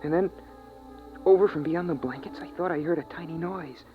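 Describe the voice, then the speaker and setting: An actor playing an earnest, frightened young boy in a slow, suspenseful 1950s radio drama performance, on an old recording. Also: on the high side, faint music behind, natural voice. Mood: tense, hushed. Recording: noisy, dull vintage radio recording.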